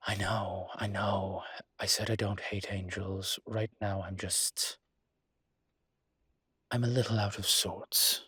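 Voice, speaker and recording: A man's voice: fairly low pitch, flat delivery, slow, pauses often, bright, forceful tone, breathy edge, dry recording, clean studio sound, heavily acted. A man playing a refined incubus demon professor in an intimate audio roleplay, explaining things in a hesitant, genteel and slightly irritated but patient manner. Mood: exasperated, explaining.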